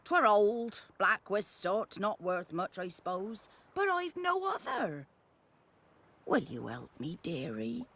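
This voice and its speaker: An actress playing a chatty, indignant old peasant granny in a fantasy video game, with a rural British accent and a rambling, folksy way of telling things. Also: female voice, mid-range voice, very animated, slow, fairly bright tone, dry recording, exaggerated voice. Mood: plaintive. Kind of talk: video game voice acting, storytelling.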